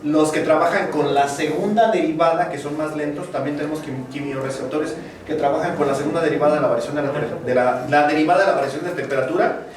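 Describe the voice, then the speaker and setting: Chatty Mexican teacher in an echoey classroom. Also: male voice, a little high, quick pace, crowd noise. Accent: Mexican Spanish. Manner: chatty, joking asides. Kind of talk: university math lecture. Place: large classroom. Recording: echoey classroom, distant, students murmuring.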